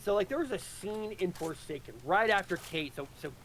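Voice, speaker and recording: A man talking casually about a game story, haltingly, repeating words as he thinks aloud. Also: high voice, lively intonation, fairly bright tone, slight background noise, low-quality recording. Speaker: host presenting his audio drama.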